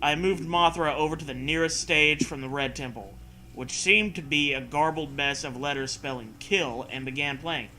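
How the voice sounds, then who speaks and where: Guy reading a story out loud n mocking it, really sharp bright voice, kinda high, bit of background noise. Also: moderate pace, poor audio. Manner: sarcastic, swearing. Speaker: host reading and mocking fan fiction. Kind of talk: story read-aloud with mocking casual commentary.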